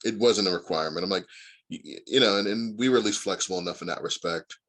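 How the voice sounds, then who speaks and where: A man's voice: sits low, fast, a bit bright. A man in his forties from Indiana, a guest actor chatting casually and telling long rambling stories full of fillers. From Indiana, USA. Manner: rambling storyteller with many fillers. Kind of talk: casual interview chat.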